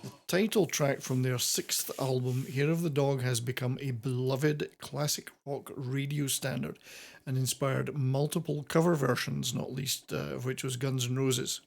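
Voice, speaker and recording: A man's voice: mid-range voice, fairly even intonation, a little slow, gravelly. A man hosting a semi-scripted countdown commentary on classic rock, with wordy, playfully florid presenter phrasing. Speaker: host of a classic rock video channel.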